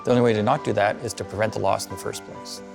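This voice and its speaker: A man giving a documentary interview, explaining food waste consulting in a brisk, persuasive way. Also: on the low side, fairly even intonation, gravelly, music in the background.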